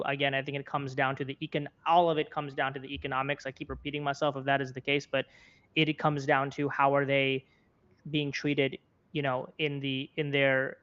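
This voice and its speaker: A young American man talks through his opinions as an interview guest. His voice is smooth and clear with a fairly flat melody, and he circles back to rephrase. The audio is slightly dull. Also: mid-range voice. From Florida, United States. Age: 20s. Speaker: interview guest, content creator and journalist. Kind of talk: conversational interview commentary.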